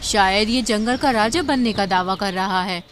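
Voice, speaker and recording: A woman's voice: mid-range voice, moderate pace, faint music behind, natural voice. A woman voicing a small, curious, sensible female bird in an animal cartoon, questioning and concerned. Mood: alarmed.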